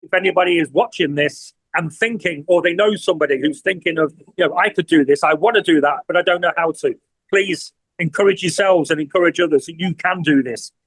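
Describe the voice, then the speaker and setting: An English man in his sixties giving an earnest interview testimonial, often pausing and repeating words as he searches for them. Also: fairly high pitch, fairly bright tone, dry recording, rough recording. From England. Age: 60s. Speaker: community TV producer, award honoree.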